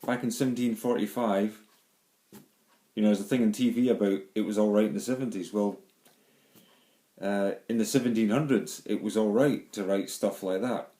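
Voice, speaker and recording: A man's voice: on the low side, steady tone, normal speed, warm tone. A middle-aged man from Glasgow with a Glaswegian Scottish accent, giving a rambling home video monologue with many ums and restarts. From Scotland. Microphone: handheld phone or camera. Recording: echoey room.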